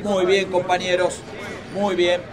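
A man plays a brisk union delegate running a factory assembly. He calls out in a high, projected voice, off-mic among a noisy crowd in a radio play. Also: completely flat delivery. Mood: commanding. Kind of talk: naturalistic radio drama acting.

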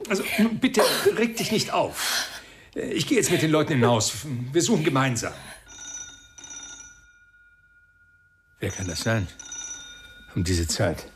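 An actor playing a brusque, defensive, well-off father in a radio crime drama, with a creaky voice and a tightly controlled manner. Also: medium pitch, big pitch swings, moderate pace, noisy background, natural voice. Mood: flustered, reproachful. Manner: brusque, hesitant under pressure.

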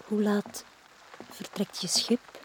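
An actress playing a lonely, quietly insistent young woman with a Flemish accent, speaking softly and hesitantly in a radio drama. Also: mid-range voice, fairly even intonation, slow, slight background noise, natural voice. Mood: wistful, pleading. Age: young adult. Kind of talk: 1980s Dutch-language radio drama acting.

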